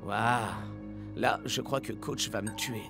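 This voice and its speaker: A man's voice: on the low side, steady tone, slow, gravelly, over loud music. A man voicing a laid-back, eager young athlete in a French cartoon dub, with a breezy, casual, exclamatory manner. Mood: worried.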